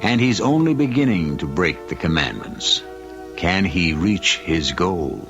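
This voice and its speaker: Deep-voiced, theatrical male radio host. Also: sing-song, unhurried. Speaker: host and narrator of a radio mystery series. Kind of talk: old-time radio narration, theatrical. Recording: dull vintage radio recording. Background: faint music.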